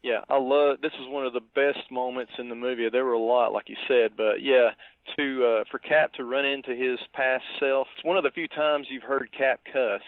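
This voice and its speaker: A man co-hosting a podcast, giving casual film commentary in a halting, reflective way over a phone-like connection. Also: medium pitch, steady tone, dry recording. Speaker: podcast co-host. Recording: thin phone-like band-limited line.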